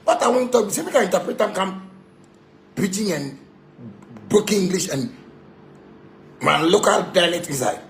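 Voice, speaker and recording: A man's voice: sits high, moderate pace, creaky, pauses often, fairly bright tone. A man with a Ghanaian accent delivering an angry, confrontational rant in Pidgin and broken English, repeating himself and throwing insults. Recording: echoey room with background noise.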